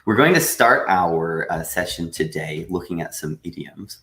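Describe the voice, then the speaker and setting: A man teaching a live online English lesson, patiently explaining with frequent pauses. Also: low voice, lively intonation, a bit bright, roomy sound. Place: room at home.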